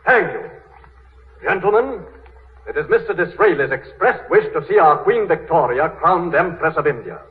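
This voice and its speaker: Victorian statesman: solemn, declamatory, slightly high-voiced, 1930s radio drama with phone-like fidelity. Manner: oratorical, formal, solemn. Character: grave, formal Victorian statesman denouncing a rival in Parliament. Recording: tinny 1930s radio, long hall reverb.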